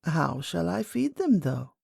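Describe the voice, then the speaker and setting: Female reader as an old lady, lowered pitch, slow delivery, kindly, calm, mysterious, storybook queen. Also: vocal fry, slightly rough, no echo, studio quality, full character voice. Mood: gentle. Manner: calm, measured, kindly, enigmatic. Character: very old, gentle, mysterious great-great-grandmother queen. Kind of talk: storybook character voice.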